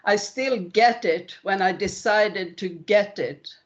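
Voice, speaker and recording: A woman speaks casually, as a student in a discussion group. Her pitch sits in the middle for a woman. The sound is dull and lo-fi, as over an internet call. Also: slow pace. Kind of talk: casual conversation. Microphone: online group call.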